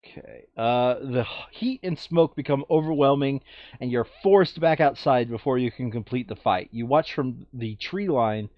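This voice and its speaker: Male board gamer, mid-pitched, offhand play commentary with muttered asides; dry, lo-fi recording. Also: moderate pace, no echo. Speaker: tabletop game player and narrator.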